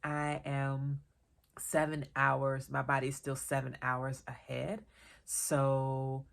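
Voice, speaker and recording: A woman talks to camera in an easy, meandering vlog chat, her voice low and full of vocal fry. She sounds stuffy from a cold and a little worn out, but keeps the tone loose and chatty. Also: slow. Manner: chatty, relaxed, digressive with small laughs. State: congested from a cold; tired. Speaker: vlogger and author. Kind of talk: casual solo vlog chat.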